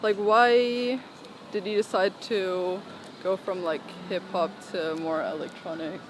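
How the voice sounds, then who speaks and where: A woman's voice: medium pitch, fairly even intonation, slow pace. A young woman casually asking interview questions while walking outdoors along a sidewalk. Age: young adult. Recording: clear outdoor street and traffic noise.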